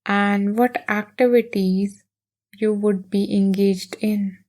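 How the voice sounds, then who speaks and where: A woman leading a guided meditation in a soothing, gentle, unhurried manner with long pauses. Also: medium pitch, fairly even intonation.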